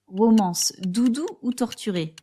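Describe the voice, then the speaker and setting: Woman host, book podcast, steady prepared questions, mid-pitched voice. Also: unhurried, slightly rough. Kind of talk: podcast interview, read summary.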